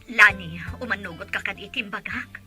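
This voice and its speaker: A woman's voice: mid-range voice, normal speed, music in the background, lightly acted. A woman with an Ilocano Filipino accent playing an insistent, encouraging confidante in an audio drama. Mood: urging. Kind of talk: radio-drama dialogue.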